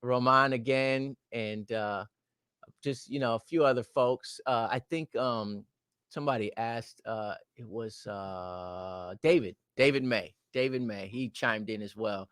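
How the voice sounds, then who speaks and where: Bright-voiced man hosting a live chat. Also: mid-range voice, measured pace, clean tone, no echo, clean studio sound. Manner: relaxed, encouraging listener with frequent agreement. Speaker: host.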